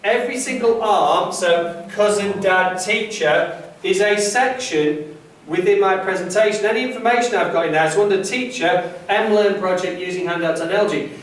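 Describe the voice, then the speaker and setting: A man's voice: sits high, normal speed. A man leading a teacher training session, explaining step by step how an app turns a mind map into a presentation. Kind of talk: classroom demonstration. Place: school classroom. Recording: echoey room, mic far away.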